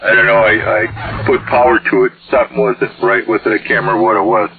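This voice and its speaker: A man's voice: fairly low pitch, flat delivery, fast, gravelly, slight background noise. A man chatting casually over CB radio in a hesitant, folksy way. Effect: radio transmission. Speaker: CB radio operator. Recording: tinny, band-limited CB radio signal.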